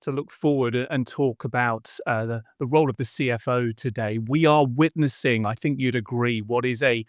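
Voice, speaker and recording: A man's voice: mid-range voice, moderate pace, few pauses, dry recording, clean studio sound. A man hosting a business podcast, introducing the show and asking questions in a friendly, conversational way. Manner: friendly, conversational, some restarts. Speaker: podcast host, interviewer.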